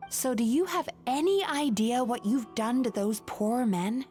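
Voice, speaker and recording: Earnest, measured therapist character, female actor, fairly high voice, gently firm, soft game music underneath. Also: lightly acted. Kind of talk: video game voice acting.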